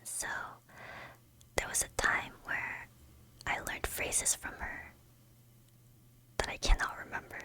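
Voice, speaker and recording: A woman whose first language is Korean, streaming in soft unvoiced whispers, chatting hesitantly with many ums on a close microphone. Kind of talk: whispered ASMR chat with chat readers.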